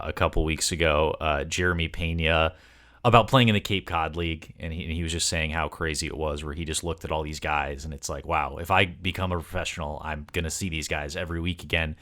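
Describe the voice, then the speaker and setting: A man with a low, deep voice hosts a sports interview podcast. He speaks conversationally and at length, building up wordy questions piece by piece. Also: fairly even intonation, fairly quick, fairly bright tone. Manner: wordy, long multi-part questions.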